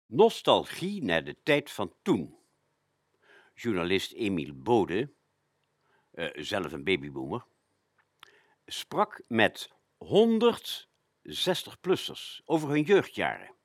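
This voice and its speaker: A man presenting a radio show, narrating from a script with frequent hesitant pauses. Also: lowish voice, wildly swooping pitch, no room sound, clean studio sound.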